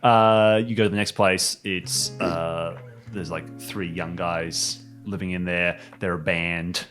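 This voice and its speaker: A man running a tabletop game as game master, narrating casually in halting, slangy, jokey bursts. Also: low-pitched, flat delivery, fairly bright tone, soft background music.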